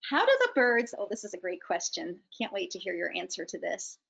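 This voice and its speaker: A woman's voice: fairly low pitch, lively intonation, brisk, vocal fry, a bit bright, breathy edge. A middle-aged woman hosting casually and relaying audience questions over a video call. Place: indoor room on a video call. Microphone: computer video call. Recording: dull, lo-fi video call audio.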